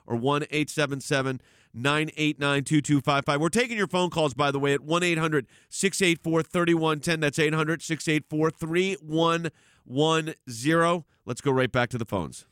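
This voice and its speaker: A man's voice: on the high side, normal speed, fairly bright tone, clean tone, no echo, studio quality. A man hosting a talk radio show, emphatic and rambling with frequent restarts. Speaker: radio host.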